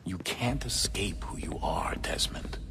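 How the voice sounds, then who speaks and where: An actor playing a stern middle-aged father in a game, speaking in a halting, earnest, remorseful way. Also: deep voice, animated, creaky, whispered, full character voice. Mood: apologetic. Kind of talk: video game cutscene acting.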